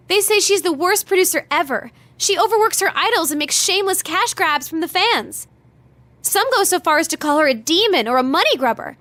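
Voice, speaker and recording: A woman's voice: extremely high pitch, fairly bright tone, no room sound. A woman playing a perky, chatty teenage pop idol girl in a video game, gossipy and emphatic. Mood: gossipy, grumbling. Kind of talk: animated video game voice acting.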